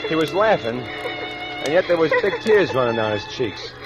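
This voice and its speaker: An actor playing a nervous killer on the run, narrating in tough colloquial talk in 1940s radio style. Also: male voice, fairly high pitch, very animated, moderate pace, over loud music. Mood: brooding, haunted. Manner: colloquial tough-guy talk with tense hesitations. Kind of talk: 1940s radio acting, first-person crime narration. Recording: old radio recording with musical underscore.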